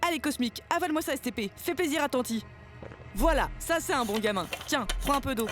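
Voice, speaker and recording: A woman's voice: sits high, animated, fairly quick. A woman playing a brash, impatient young female adventurer with blunt, mocking, slangy delivery in an audio drama. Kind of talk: French audio drama acting. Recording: music behind the voice.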